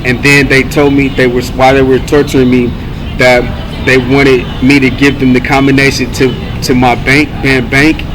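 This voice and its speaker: A man from California in a rambling monologue, halting with many fillers, repeating phrases and listing things one by one. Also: mid-range voice. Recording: steady background noise.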